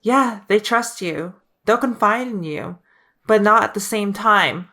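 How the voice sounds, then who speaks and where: A woman's voice: mid-range voice, measured pace, bright, forceful tone. A woman playing a frank, worried teenage heroine in an audio drama, direct and persuasive in conversation. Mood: earnest.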